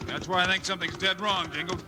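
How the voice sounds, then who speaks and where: An American man playing a bold, steady, curious Old West marshal, plain-spoken and decisive, in a 1950s radio Western. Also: high-pitched, quick pace, music in the background, lightly acted. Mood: steady, resolute. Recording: noisy, crackly 1950s radio recording.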